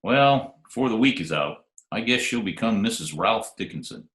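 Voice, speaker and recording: A man playing a smooth, wisecracking hardboiled private detective in an old-time radio drama. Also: fairly low pitch, expressive, moderate pace, fairly bright tone. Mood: teasing, suave. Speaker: lead actor and narrator. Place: home, remote video call recording. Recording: roomy, echoey remote home recording.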